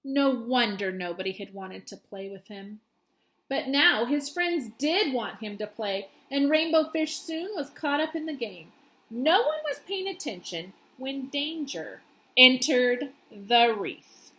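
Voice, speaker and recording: A woman's voice: high voice, sing-song, unhurried, fairly bright tone, low-quality recording. A woman teacher reading a children's storybook aloud expressively to her students.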